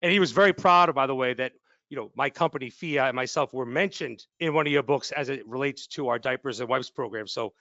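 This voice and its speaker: A man's voice: mid-range voice, fairly quick, pushed and bright, no echo, highs cut off. A man hosting a podcast in a casual, chatty way, telling anecdotes and following them with repeated questions. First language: English and Polish speaker. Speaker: podcast host, company CEO. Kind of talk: casual chat, anecdotal interviewing.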